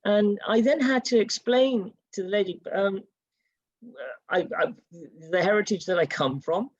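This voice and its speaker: A British-born panelist telling a personal story in a panel discussion and acting out the quoted exchanges. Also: male voice, high-pitched, a little slow, no echo. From United Kingdom. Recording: dull, dry sound.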